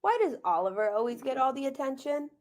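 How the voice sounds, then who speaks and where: A woman voicing a cheerful, gentle four-year-old boy in a picture book read-aloud, soft and eager. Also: high voice, big pitch swings, quick pace, voice pushed up. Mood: soft, curious.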